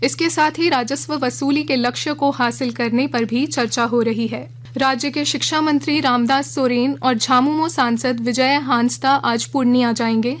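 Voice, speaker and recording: A woman reading a regional radio news bulletin in a measured, formal way from a studio. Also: sits high, steady tone. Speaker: news reader.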